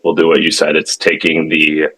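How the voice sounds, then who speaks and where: Man, low pitch, bright forceful tone, casual product demo, conversational, self-correcting, founder explaining his software. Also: completely flat delivery, breathy edge, poor audio. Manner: halting, self-correcting, conversational, checks in with "right?". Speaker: tech company founder demonstrating his product.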